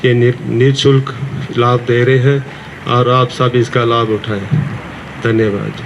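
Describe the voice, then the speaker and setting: Flat-voiced man, noisy outdoors. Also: mid-range voice, measured pace, gravelly, dull audio. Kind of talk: casual spoken testimonial. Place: outdoor park.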